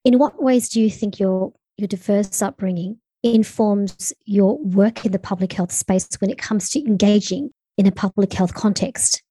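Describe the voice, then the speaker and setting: Husky-voiced woman podcast host. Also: medium pitch, moderate pace, hardly any pauses, warm tone, dry recording, poor audio. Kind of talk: interviewing a guest.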